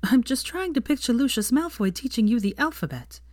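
Woman as an exasperated, bookish young woman, animated. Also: on the high side, a little fast, no room sound, studio quality, voice pushed up, natural voice. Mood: wry. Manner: muttering, indignant.

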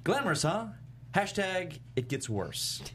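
A man's voice: high voice, very animated, measured pace, a bit bright, full character voice. A man playing an awkward, self-pitying vampire in his thirties in a comedy table read, exasperated and self-deprecating. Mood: confessional. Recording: faint background noise.

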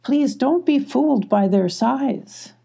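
Woman voicing a high-pitched, earnest sloth. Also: animated, slow pace, gravelly, mellow, slightly rough, heavily acted. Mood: hopeful calling. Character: gentle, tearful sloth calling out hopefully for friends.

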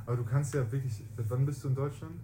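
A man's voice: mid-range voice, fairly even intonation, fast talker, background noise. A man interviewing in an informal, encouraging way as a casual podcast host. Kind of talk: casual chat.

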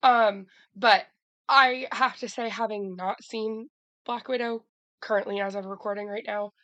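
A woman co-hosting a casual podcast chat, hoarse from a cold, talking in a chatty way with lots of restarts. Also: medium pitch, steady tone, measured pace, sharp tone, no echo. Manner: chatty, many fillers and restarts. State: hoarse from a cold, losing her voice. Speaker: podcast co-host.

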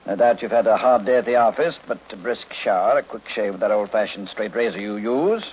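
A man's voice: lowish voice, fairly quick, slightly rough. A man playing a pompous, cranky older detective in a 1940s radio mystery, speaking formally with precise diction. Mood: confident, lecturing. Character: pompous, cranky older male master detective, supremely self-assured. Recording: muffled, noisy old radio recording.

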